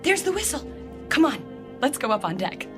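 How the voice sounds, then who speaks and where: A bubbly american wife, teasing n romantic, highish voice swooping all over, radio drama w music. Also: female voice, normal speed. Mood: eager. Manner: expressive, coaxing, teasing.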